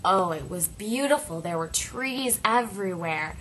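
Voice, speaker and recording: A woman with a bright, forward voice tells a personal story in the first person. She speaks at a middle pitch, phrase by phrase, leaving deliberate pauses for drama. A faint noise sits behind her voice. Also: lively intonation, low-quality recording. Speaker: interpreter voicing a signed personal story.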